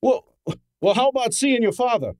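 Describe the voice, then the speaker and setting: A man plays a detective's breezy assistant in a radio drama. He is wry and slangy, and his pitch swings widely as he talks. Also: expressive, normal speed, darkish tone. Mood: startled. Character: breezy, wisecracking male detective's assistant and narrator. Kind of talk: old-time radio drama acting with narration.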